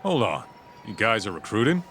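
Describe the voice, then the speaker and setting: An actor playing a cocky, casual man making a sly pitch in a video game cutscene. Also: big pitch swings, moderate pace, fairly bright tone, a little hiss or hum.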